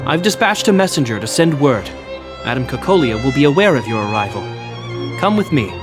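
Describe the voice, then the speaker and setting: A dutiful captain of the city guard, acted by a man for a video game cutscene. He speaks at a medium pitch in formal, measured, earnest phrases, with music clearly playing underneath. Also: sing-song, lightly acted. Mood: formal, solemn.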